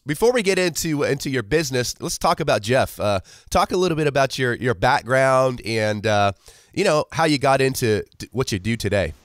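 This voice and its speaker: A male podcast host with a cold, sounding a bit hoarse and froggy, talking in an upbeat, affirming way. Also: medium pitch, expressive, fairly quick, no room sound, clean studio sound. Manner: upbeat, affirming, frequent "yeah". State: congested from a cold. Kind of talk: podcast intro and interview.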